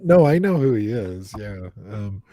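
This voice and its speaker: A man's voice: lowish voice, very animated, a little slow, dark tone, no room sound. A man hosting a casual paranormal livestream, talking to the viewers in the chat and leaning on fillers. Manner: talks to the chat audience, frequent fillers. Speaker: paranormal investigator host.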